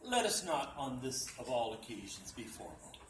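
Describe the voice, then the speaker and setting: A man reading the lines of a gracious, friendly woman singer, with slow, courtly phrasing and a few Spanish words. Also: mid-range voice, sing-song, pitched below the performer's own voice, natural voice. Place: library meeting room at a dinner event. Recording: echoey room, distant mic, background noise.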